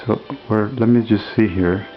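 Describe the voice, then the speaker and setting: Relaxed hobbyist man, lots of fillers. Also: lowish voice, normal speed, dull audio, over music. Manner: relaxed, hesitant with frequent fillers. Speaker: hobbyist demonstrating a homemade radio. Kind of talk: casual show-and-tell demo. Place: at home.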